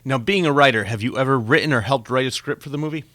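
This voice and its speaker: A man hosts a conversational interview show in a bright, forward voice of ordinary pitch. The sound is dry and close, with very little room around him. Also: fast talker, no echo.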